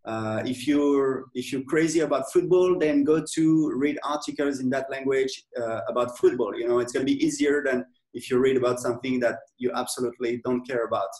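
A man with a French accent, a software company owner speaking in a casual interview, hesitant, with many fillers and restarts. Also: mid-range voice, fairly quick, few pauses, roomy sound, low-quality recording. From France.

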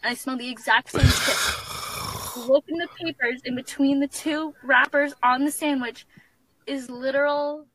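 A woman recounting a bad personal experience in a calm, matter-of-fact way, with frequent hesitant fillers. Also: high-pitched, unhurried, noisy background. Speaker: social media poster. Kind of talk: telling a personal story to camera.

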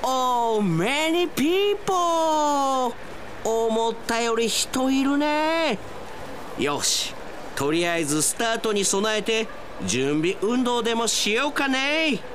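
A man playing an excitable, whiny, hapless young man in a comic radio drama, with theatrical exclamations. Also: extremely high pitch, slow pace, a little hiss or hum, heavily acted. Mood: upbeat. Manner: theatrical exclamations, sprinkles in English phrases.

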